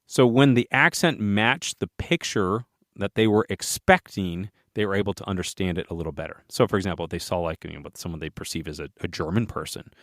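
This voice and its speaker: A man's voice: low-pitched, brisk, no echo, clean studio sound. A man co-hosting a podcast, chatting casually and rambling with many fillers and restarts. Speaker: podcast co-host.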